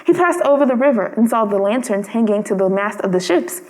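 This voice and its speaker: A woman's voice: fairly high pitch, lively intonation, brisk, a little mellow. A woman reading a storybook aloud as a scripted storyteller. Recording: long reverb.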